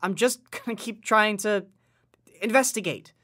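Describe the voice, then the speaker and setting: A man's voice: sits high, a little slow, sharp tone, full character voice. A man playing an earnest, worried working-class boy in a nostalgic period audio drama, with folksy old-time slang and an eager, sincere manner. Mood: earnest, reassuring.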